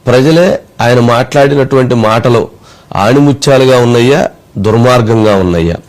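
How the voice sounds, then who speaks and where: A man giving political commentary in a deliberate, emphatic way, putting rhetorical questions. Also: medium pitch, a little hiss or hum, rough recording.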